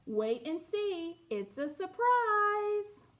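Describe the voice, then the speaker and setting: A woman voicing a panda baker in a children's picture book, in a bright, teasing sing-song. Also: extremely high pitch, big pitch swings, extremely slow, voice pushed up, heavily acted. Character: patient panda baker, secretive.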